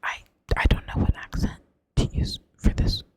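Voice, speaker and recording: A woman with a Southern US accent reads a novel aloud in a soft ASMR whisper. She stumbles on words now and then and corrects herself without breaking the hush. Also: normal speed. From Southern United States. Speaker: reader.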